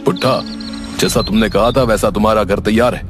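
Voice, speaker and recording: A man's voice: fairly low pitch, steady tone, a little fast, over music, close to an everyday voice. A man voicing a blunt, skeptical, exasperated mason in a comedy cartoon, often questioning in disbelief. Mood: resigned. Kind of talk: comedy cartoon story acting.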